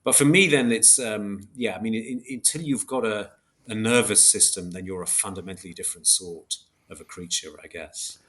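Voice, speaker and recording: A man's voice: lowish voice, normal speed, a bit bright. A man speaking as an academic interview guest, thinking aloud in a hesitant, self-correcting, reflective way. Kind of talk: thoughtful interview discussion.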